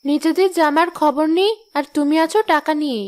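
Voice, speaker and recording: A woman voicing a caring wife and mother in a cartoon, calm and reassuring. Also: high voice, fairly quick, lightly acted. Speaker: narrator and mother.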